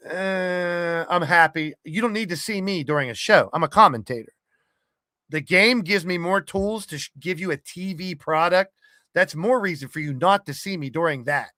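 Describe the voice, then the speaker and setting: Bright, blunt male podcast host. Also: high voice, moderate pace, no echo, clean studio sound. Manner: blunt, sweary, rambling. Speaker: podcast host and wrestling game streamer. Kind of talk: casual monologue to an online community.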